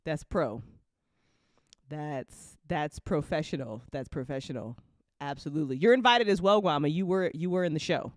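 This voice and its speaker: A woman's voice: sits low, moderate pace, dry recording, studio quality. A woman hosting a live after show in casual, joking chat, playfully repeating phrases for emphasis.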